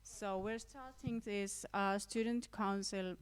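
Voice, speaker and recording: A woman's voice: medium pitch, steady tone, slow, smooth. A Finnish woman, a vocational school teacher, speaking on a conference panel in hesitant English with fillers. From Finland. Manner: hesitant with fillers, coughing now and then. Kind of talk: panel contribution. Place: conference room.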